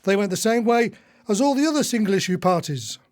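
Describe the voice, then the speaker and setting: A man's voice: high-pitched, lively intonation, fairly quick, darkish tone. A man acting in a radio play as a retired husband, an indignant, long-winded, disillusioned old socialist. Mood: resigned, dry. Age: older.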